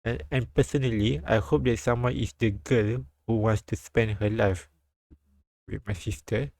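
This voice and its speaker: A man reads a visual novel aloud on a live stream in a low voice with plenty of gravelly fry. His delivery is halting, with repeated lines and casual asides, and the sound is close and dry. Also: steady tone, darkish tone, no echo.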